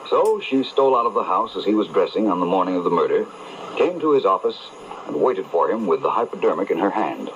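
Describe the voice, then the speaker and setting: A man's voice: low-pitched, lively intonation, fast talker, a bit bright. An actor playing a shrewd, urbane detective in an old radio mystery, explaining his case with assurance. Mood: assured. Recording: dull, hissy AM radio reception.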